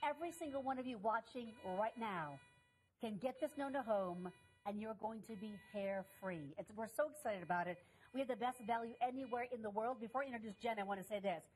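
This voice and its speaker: A woman's voice: fairly high pitch, a little fast, slight background noise, rough recording. A woman hosting a shopping channel, talking in enthusiastic selling patter. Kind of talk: shopping channel sales pitch.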